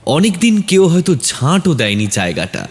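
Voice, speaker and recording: Animated male horror-story narrator. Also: fairly high pitch, normal speed. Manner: dramatic, suspenseful.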